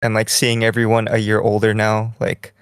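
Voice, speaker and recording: Young man, podcast co-host, relaxed rambling chat, full of "like", monotone delivery. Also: on the low side, moderate pace, clear, resonant tone, no room sound, studio quality. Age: young adult. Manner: relaxed, rambling, heavy use of "like". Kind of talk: casual chat. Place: small bedroom, lying in bed.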